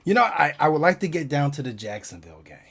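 A man's voice: fairly high pitch, very animated, fast, a bit bright, breathy edge. A man from Buffalo, New York, chatting casually about sports as a podcast guest.

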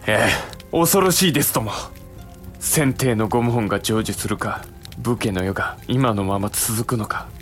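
A man's voice: lowish voice, normal speed, soft background music, natural voice. An actor playing an earnest, anxious samurai younger brother and advisor in a Japanese period audio drama, formal and deferential in his questioning. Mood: awed, grave.

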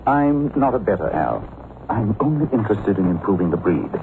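Casting: suave, concerned gentleman adventurer, very expressive range, measured delivery, thin noisy old-time radio sound. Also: fairly low pitch, narrow, tinny audio, music in the background. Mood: troubled, polite.

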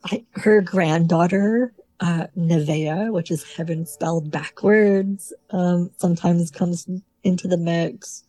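A man's voice: sits high, steady tone, slow, few pauses, dark tone, no echo, pitched above the performer's own voice. A man voicing a grandmother's young granddaughter with a chatty, hesitant manner.

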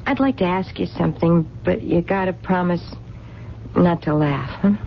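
An actress playing a hopeful working-class waitress in a radio drama, with colloquial diction and an earnest, pleading way of talking. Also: female voice, on the low side, normal speed, creaky. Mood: tender, hopeful. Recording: dull, noisy 1970s radio recording.

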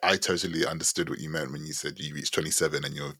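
A man in his late twenties asks questions in an extremely deep, gravelly voice that stays flat in pitch and sounds a little husky. He talks in a loose, rambling way, and the recording is dry and close. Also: fast talker, no room sound. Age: 20s. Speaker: interviewer. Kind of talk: conversational interview.

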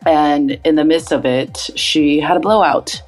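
A young woman with a notably low voice tells a personal story as a podcast guest. She narrates in an animated way, leaning into the telling. Music plays faintly behind her. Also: a little slow, poor audio. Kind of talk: personal anecdote.

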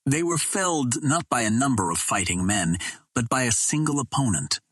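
A man voicing a grave Martian royal psychologist, speaking formally and deliberately with deference to his ruler. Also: mid-range voice, expressive, husky, no echo, clean studio sound, pitched above the performer's own voice. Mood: grave, assured.

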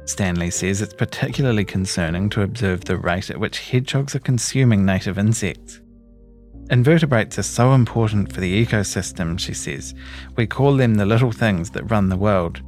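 A man's voice: on the low side, darkish tone. A man reading a scripted news feature as narrator.

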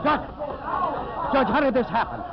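High-pitched, blustering timber boss. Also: male voice, normal speed, vocal fry, over a noisy crowd. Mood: agitated, demanding. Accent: American. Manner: blustering, insistent. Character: hot-tempered, demanding timber businessman. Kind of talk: 1950s radio drama acting. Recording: muffled, hissy vintage radio recording.

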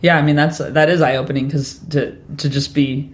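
A man hosting an interview, asking long, wandering questions with soft laughs. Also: on the high side, little pitch movement, moderate pace. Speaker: podcast host. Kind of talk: conversational interview.

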